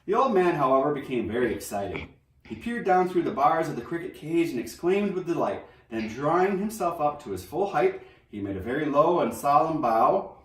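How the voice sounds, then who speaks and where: A man reading a children's novel aloud in an expressive storybook narration style. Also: a little high, moderate pace. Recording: roomy echo, distant microphone.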